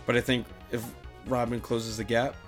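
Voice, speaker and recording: A man's voice: medium pitch, level tone, moderate pace, faint music behind. Casual male podcast co-host with slangy banter.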